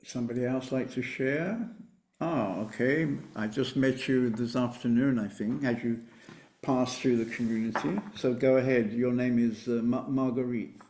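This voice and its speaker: A spiritual teacher leading an online meditation group, speaking in a calm, measured way and often affirming with repeated "right, right". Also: male voice, mid-range voice, echoey room. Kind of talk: relaxed group-meeting talk. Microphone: computer mic on a video call.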